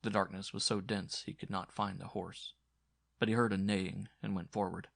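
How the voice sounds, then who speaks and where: A male audiobook narrator reading horror fiction dramatically. His voice is fairly low and gravelly, with a forceful edge, recorded very dry. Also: level tone, moderate pace, dry recording.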